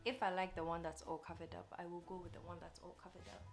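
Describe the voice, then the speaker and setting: A woman's voice: on the low side, little pitch movement, fast, music in the background. A woman in her twenties chatting casually and playfully to camera as a vlogger, addressing her viewers directly in a bedroom. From Ghana. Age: 20s. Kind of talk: casual vlog chat to camera. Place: bedroom, indoors.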